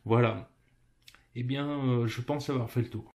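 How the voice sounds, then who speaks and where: A man's voice: mid-range voice, steady tone, measured pace, a little mellow. Hesitant French man walking through an app. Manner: informal, addresses viewer as tu, many hesitations. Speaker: app tutorial presenter. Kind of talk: casual screen walkthrough.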